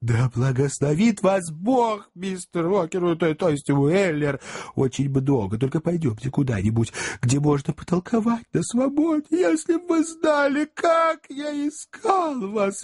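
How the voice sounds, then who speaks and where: A man playing a high, whining, tearful sly servant. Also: expressive, a little mellow, dry recording. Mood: gushing tearful. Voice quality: high, quavering, drawn-out pleading.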